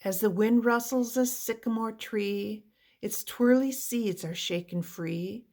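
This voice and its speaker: A woman reading a children's picture book aloud with deliberate, expressive phrasing for young listeners. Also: on the high side. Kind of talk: read-aloud children's storybook narration.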